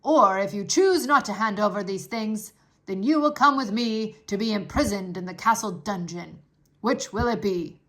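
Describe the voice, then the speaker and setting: A woman's voice: medium pitch, normal speed, lightly acted, rough recording. A woman voicing a formal, dutiful male soldier of the king who speaks politely and raises his voice to be heard. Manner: stiff, polite, shouts to be heard.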